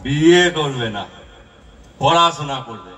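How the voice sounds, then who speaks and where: A high-voiced village father acted by a man in an amateur puppet show, nagging and easily flustered. He sounds distant, as if picked up by a stage microphone. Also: expressive, measured pace, a bit bright. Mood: scolding, exasperated. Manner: scolding, stammers when cornered. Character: poor nagging village father, scolding yet servile to creditors.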